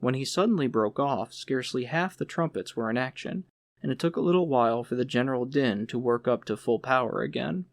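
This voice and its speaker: A man's voice: mid-range voice, brisk, gravelly, no echo, studio quality. A man reading literary fiction aloud as an audiobook narrator.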